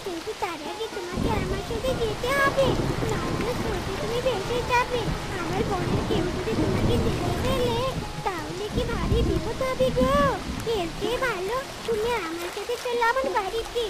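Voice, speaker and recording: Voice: very high voice, moderate pace. A performer voicing a kind, earnest young fox child in a children's cartoon. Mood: concerned, caring. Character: kind young fox child Guddu helping a water fairy. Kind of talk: dubbed children's cartoon dialogue. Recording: loud music and water sounds behind. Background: music, splashing water.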